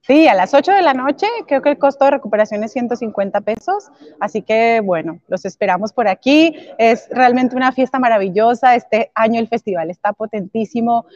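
A woman's voice: fairly high pitch, fairly quick, a little mellow, dry recording, highs cut off. A woman from Colombia, a jazz singer, speaking upbeat and inviting as a guest on a morning news interview.

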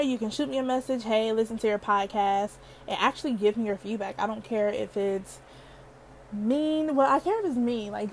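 Young woman podcaster, girlish and higher-pitched, chatty and laughing, faint hiss under the voice. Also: poor audio. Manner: chatty, playful, laughs often. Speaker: podcast host. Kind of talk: casual solo podcast chat.

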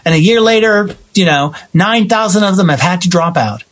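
A man's voice: high-pitched, lively intonation, normal speed, slight background noise. An American man in emphatic, opinionated conversation, slipping into imagined quotes to make his points. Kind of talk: opinionated conversation about society.

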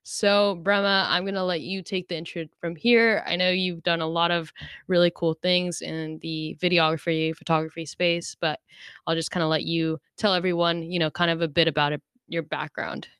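An upbeat young woman hosts a podcast in a chatty, casual way. Her voice is fairly low and smooth. The sound is close and dry. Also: flat delivery, normal speed, fairly bright tone, dry recording. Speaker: podcast host.

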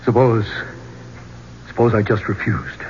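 An actor playing a smooth-talking, greedy archeologist turned thief, with persuasive, theatrical delivery in a 1970s radio drama. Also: male voice, fairly low pitch, level tone, a little slow, a little mellow, close to an everyday voice. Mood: cornered. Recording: muffled, noisy old radio recording.

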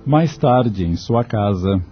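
A man's voice: fairly low pitch, lively intonation, slow. A man reading scripted narration for a radio drama. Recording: dull, lo-fi radio recording, music behind. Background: faint music.

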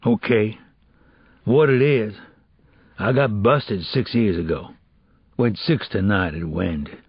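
A narrator, a man, plays a weary male ex-con in a crime audiobook. He is a troubled father, earnest and plainspoken, full of street slang. The voice is husky and the sound dry and a little dull. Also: fairly low pitch, slow, no room sound, full character voice. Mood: resigned, firm. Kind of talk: audiobook character dialogue.

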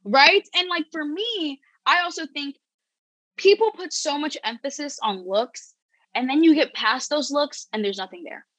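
A young woman talks as a guest on a casual podcast, candid and animated, stringing thoughts together with "like" and quick restarts. Her voice is bright and a touch high, recorded dry and close. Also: no room sound, low-quality recording. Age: young adult.

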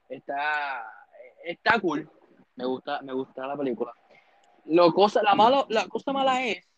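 A man co-hosting a podcast, chatting casually and laughing as he rambles about movies. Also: fairly high pitch. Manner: laughing, rambling, mixing English phrases. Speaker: podcast co-host. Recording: dull lo-fi sound.

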